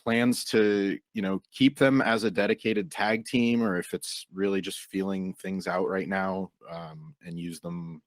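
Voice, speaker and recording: A man's voice: deep voice, steady tone, unhurried, few pauses, no room sound. A male reporter asking a casual question on a press call, somewhat hesitant. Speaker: wrestling journalist asking questions.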